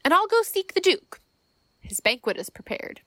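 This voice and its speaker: A woman's voice: very high voice, big pitch swings, normal speed, background noise. A woman playing a modest, obliging singing lord in a Shakespeare radio play, mild and a little reluctant.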